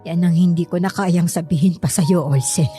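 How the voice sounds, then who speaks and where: Over soft music, an actress voices a middle-aged Filipina mother with a mellow, dark voice. She speaks as a weary, protective radio drama parent, pained and reluctant. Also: on the low side, normal speed, lightly acted. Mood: firm, pained. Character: pained, self-sacrificing, protective mother of a grown son.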